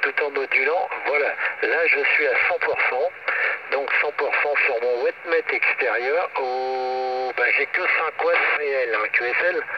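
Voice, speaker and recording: A man talks casually over a shortwave radio link as a ham operator. His voice comes through dull and band-limited, buried in very loud static. He rambles through technical details, often tacking little tag words onto his sentences. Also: mid-range voice, a little slow. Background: loud radio static and hiss.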